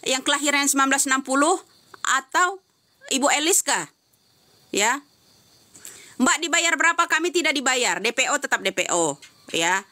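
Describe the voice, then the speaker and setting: A woman's voice: high voice, expressive, normal speed, long pauses, pushed and bright. A woman giving heated live-stream commentary, answering comments with insistent, repeated rhetorical questions.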